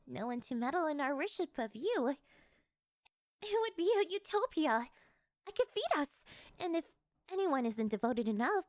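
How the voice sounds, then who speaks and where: A woman's voice: high-pitched, no echo, clean studio sound. A woman playing an obsessive, worshipful young cleric who leads a cult, speaking intimately and fervently to one listener in an anime-style yandere ASMR roleplay.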